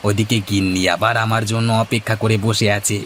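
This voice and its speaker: A man voicing a lazy, greedy village husband in a cartoon, a scheming flatterer with a wheedling, hemming, theatrical delivery. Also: lowish voice, brisk, slight background noise, natural voice. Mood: fawning. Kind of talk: Bengali cartoon dubbing.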